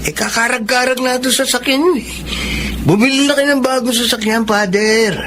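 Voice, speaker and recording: An actor playing a stubborn young troublemaker son in a radio drama, blunt and offhand, with a Filipino accent. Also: male voice, very high-pitched, fairly even intonation, a little fast, background noise.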